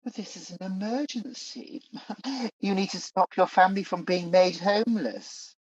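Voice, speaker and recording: A scheming Demon King played by an actor in a radio pantomime, mid-pitched and theatrical. The delivery is sly and coaxing, and the sound is close and dry but slightly dull. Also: measured pace, no room sound, close to an everyday voice. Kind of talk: pantomime radio play acting.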